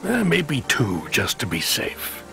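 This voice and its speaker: A world-weary game dispatcher, an avuncular man with a creaky, gravelly voice at middle pitch. He hedges and hesitates in a folksy, apologetic way. Soft music sits behind him. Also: huge pitch swings, slow, exaggerated voice. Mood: evasive, businesslike. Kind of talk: video game voice acting.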